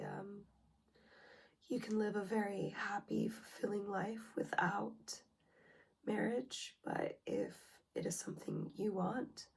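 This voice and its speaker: A young woman with a hoarse, breathy voice from a cold, her words often slipping into a whisper. She talks slowly with frequent pauses and ums, and a gravelly fry sits under her tone as she shares a personal self-help vlog. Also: medium pitch. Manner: slow, hesitant, with many ums and soft whispery breaths. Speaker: relationship vlogger.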